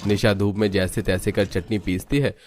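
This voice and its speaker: A man reading scripted narration for a Hindi animated story as its narrator. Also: lowish voice, normal speed.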